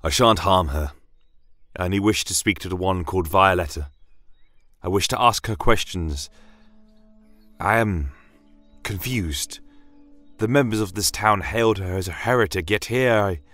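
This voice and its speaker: A man playing a conflicted church inquisitor, courteous, measured and questioning, in a fantasy audio story. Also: sits low, fairly bright tone, dry recording, faint music behind. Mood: confused, questioning.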